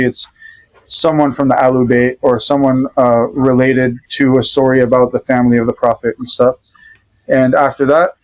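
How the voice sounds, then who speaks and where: A man with a Rhode Island accent tells a personal story on a podcast, at a middling pitch for a man. His delivery is relaxed and meandering, with plenty of pauses as he gathers his thoughts. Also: low-quality recording. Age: adult father. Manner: easygoing, loosely rambling with many pauses. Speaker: podcast guest. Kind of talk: casual conversational storytelling.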